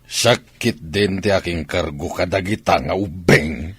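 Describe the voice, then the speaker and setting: A man playing a gruff, hard-hearted, grudging husband in a radio drama. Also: low-pitched, a little slow, a bit bright, no room sound, heavily acted. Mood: annoyed, cold.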